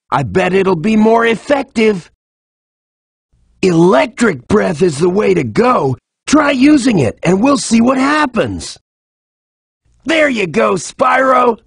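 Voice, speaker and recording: High, very raspy cheetah buddy. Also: male voice, sing-song, slow, dry recording, studio quality, full character voice. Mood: friendly, encouraging. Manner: casual, encouraging. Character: laid-back, friendly male cheetah sidekick. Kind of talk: video game tutorial acting.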